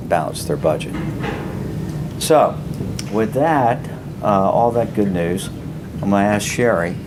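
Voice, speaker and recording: A man, a city official, giving a measured budget briefing to a city council, pausing often with fillers. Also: sits low. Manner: measured, explanatory, with frequent fillers. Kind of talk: council work session briefing. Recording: noisy council chamber audio.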